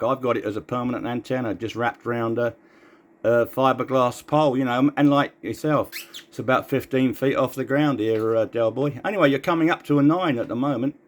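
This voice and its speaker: A man having a casual radio chat as a CB operator. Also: mid-range voice.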